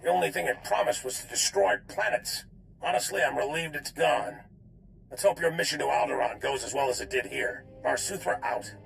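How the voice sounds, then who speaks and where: A man voicing a gruff, authoritative army general in a video game, blunt and gravelly. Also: a little high, brisk, a bit bright, slight background noise, low-quality recording. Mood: relieved, blunt. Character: gruff no-nonsense male army general in space.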